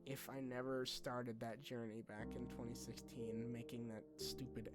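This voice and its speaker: A young man talking casually and unscripted like a YouTuber to followers, candid, self-deprecating and halting, with informal phrasing. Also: mid-range voice, level tone, loud music behind the voice. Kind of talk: casual unscripted vlog talk.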